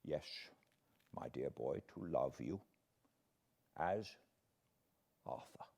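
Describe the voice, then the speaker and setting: A man voicing a solemn, slow-spoken old professor. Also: a bass voice, expressive, vocal fry, breathy edge, voice pushed down, heavily acted. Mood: tender. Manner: solemn, gentle, halting foreign phrasing. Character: grave, kindly old professor, foreign-born, earnest.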